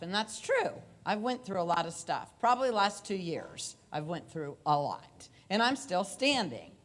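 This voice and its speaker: A woman in her forties giving a loud, conversational motivational talk into a handheld mic on a conference room stage. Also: mid-range voice. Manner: loud, conversational, with repeated phrases. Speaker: motivational speaker and coach. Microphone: handheld microphone.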